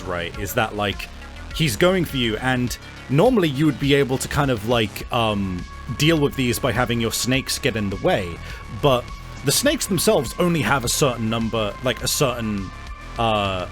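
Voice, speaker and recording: A man's voice: mid-range voice, a bit bright. A man acting as game master, improvising tabletop game narration in a casual, sweary way.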